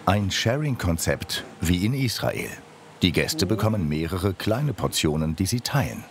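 Deep male documentary narrator. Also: big pitch swings, unhurried, background noise. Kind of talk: scripted voice-over narration.

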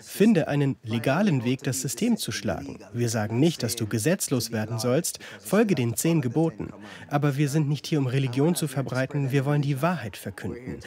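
A man speaks in an interview as a band musician, stating his views in a medium-pitched voice. A crowd is clearly audible behind him. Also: lively intonation, normal speed.

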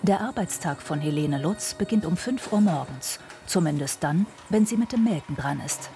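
A woman narrates a television news feature in a steady, measured voice that sits a little low for a woman. A clear music bed plays underneath her reading. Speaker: reporter.

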